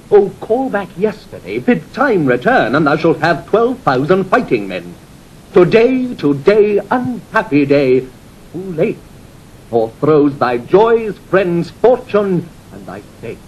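A man's voice: big pitch swings, slow pace, highs cut off. An actor playing a loyal, sorrowful nobleman in Shakespearean verse, declaiming mournfully. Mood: grieving. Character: loyal nobleman bearing grievous news. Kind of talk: classical Shakespearean verse acting. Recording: rumbly, noisy vintage studio recording.

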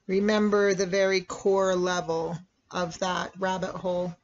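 A meditation guide speaking in a measured, instructional way with long pauses between phrases. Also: mid-range voice, flat delivery, vocal fry. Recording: dull, lo-fi recording.

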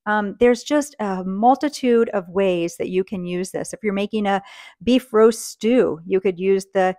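A woman's voice: medium pitch, a little slow, warm tone. A woman, chatting practically as she preps food in her home kitchen. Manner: friendly, practical, talks while working. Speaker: cooking channel host. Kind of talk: casual kitchen how-to.

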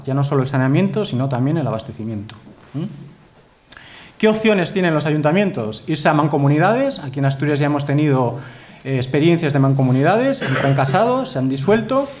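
Male presenter, 30s, Spanish accent, slightly high voice, earnest and emphatic lectern delivery. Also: darkish tone. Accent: Spanish from Spain. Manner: earnest, emphatic, frequent tag questions. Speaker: conference speaker. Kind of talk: formal conference talk with slides. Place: wood-panelled lecture hall.

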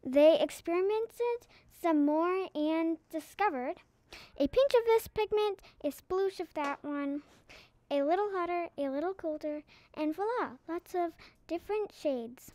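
Female picture book reader, high pitch, taking it slowly. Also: warm tone, no echo. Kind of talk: scripted read-aloud narration.

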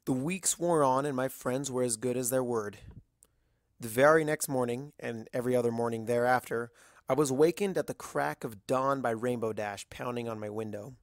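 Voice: mid-range voice, normal speed, no room sound, clean studio sound. A narrator reading a scripted story in the first person. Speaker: fan fiction narrator.

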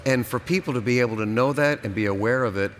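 A man's voice: medium pitch, fast talker. A middle-aged man, a pastor, talking as a guest on a live convention stage. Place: stage in a large convention hall. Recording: faint live hall background noise.

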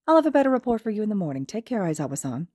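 A small, clever animal principal from a story, voiced by a woman narrator. The voice is raised in pitch and soft-toned. The manner is courteous, measured and formal, meant to reassure. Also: very animated, slightly rough, no room sound, studio quality. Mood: reassuring. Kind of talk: audio fiction reading.

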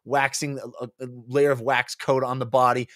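A man's voice: medium pitch, level tone, normal speed, fairly bright tone, no echo. A man in his forties co-hosting a book club podcast, talking casually and emphatically into a close mic. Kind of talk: casual animated book discussion. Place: small home office, indoors. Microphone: close podcast mic on boom arm.

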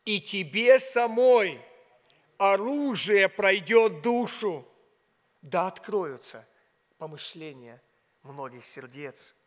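A man in his forties preaching a sermon from a pulpit in a large church. He speaks a language that is not his first, with emphatic rhetorical questions and words dropped to a hush. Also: high voice, lively intonation, takes it slowly. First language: not Russian. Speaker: pastor. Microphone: pulpit mic. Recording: long hall reverb.

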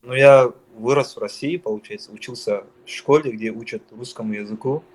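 A man's voice: mid-range voice, brisk, poor audio. A young man from Dagestan who answers questions on a call, hesitant and getting defensive.

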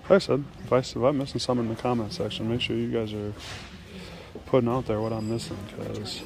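Male vlogger, folksy and casual, gravelly fry, handheld audio in a noisy big hall. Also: medium pitch, little pitch movement, brisk, darkish tone. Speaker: auction vlogger. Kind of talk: casual chat while browsing. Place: large indoor auction hall. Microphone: handheld camera or phone. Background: clear hall noise.

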